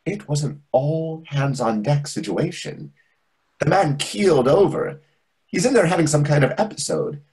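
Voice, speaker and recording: A man's voice: fairly high pitch, big pitch swings, unhurried, a little mellow, slightly rough, full character voice, rough recording. A man in his thirties playing a dramatic, flustered, camp waiter in a play reading over a video call, indignant and arch. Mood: agitated. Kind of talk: readers theatre play reading.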